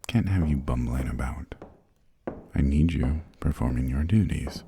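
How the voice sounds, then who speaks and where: A man playing an imperious, aristocratic vampire lord in a whispered ASMR roleplay, with formal, haughty, old-fashioned phrasing and a breathy murmur. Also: background noise, exaggerated voice. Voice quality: breathy, gravelly low murmur. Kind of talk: whispered ASMR roleplay, slow scripted monologue.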